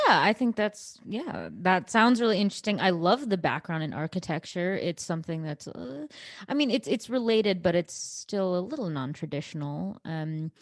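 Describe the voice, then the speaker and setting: A woman's voice: mid-range voice, dry recording. Young woman podcast host, chatty, lots of likes, dry close mic. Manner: chatty, with many likes and ums. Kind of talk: casual interview questions.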